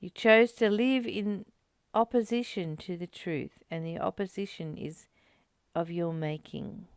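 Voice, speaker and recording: A woman's voice: on the low side, steady tone, unhurried, clean tone, no echo, studio quality. A woman hosting a spiritual study, reading and commenting in an earnest, contemplative, affirming manner.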